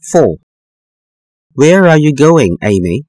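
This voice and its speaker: A man reads numbered sentences for a school listening test, pausing and pronouncing each word with care. His pitch is mid-range but swings widely. It is recorded close and dry, with no room sound. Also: big pitch swings, darkish tone, no room sound. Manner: slow, careful, deliberate enunciation. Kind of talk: scripted textbook listening exercise.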